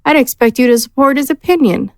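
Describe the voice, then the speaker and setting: Woman playing a high, inquisitive adventurer. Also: fast talker, darkish tone, no room sound, clean studio sound. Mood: curious. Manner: inquisitive, frank.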